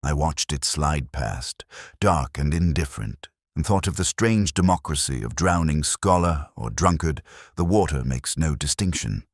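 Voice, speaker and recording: A man playing a melancholy middle-aged English police detective, narrating in a measured, literary and wistful way as if from a notebook. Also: a bass voice, lively intonation, no room sound, clean studio sound. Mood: somber. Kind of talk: scripted first-person dramatic narration.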